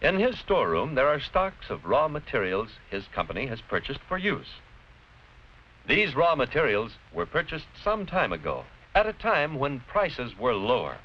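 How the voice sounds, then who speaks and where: An American man giving scripted educational narration in a deliberate, clearly explanatory, didactic manner. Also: medium pitch, big pitch swings. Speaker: narrator-presenter of an educational film. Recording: dull, noisy vintage educational film soundtrack.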